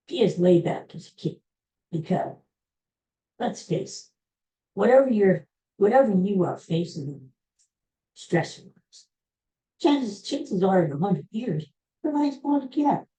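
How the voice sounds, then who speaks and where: Solo woman podcaster, slow and mellow, folksy rambling advice. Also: mid-range voice, poor audio. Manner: addresses listeners as folks. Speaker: podcast host. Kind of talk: casual solo podcast monologue.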